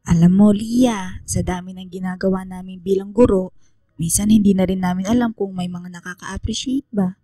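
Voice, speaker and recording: An actress playing a kind, motherly female schoolteacher in a radio drama, speaking gently and affectionately. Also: mid-range voice, steady tone, moderate pace, no room sound, studio quality, natural voice. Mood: touched, grateful. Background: music under the voice.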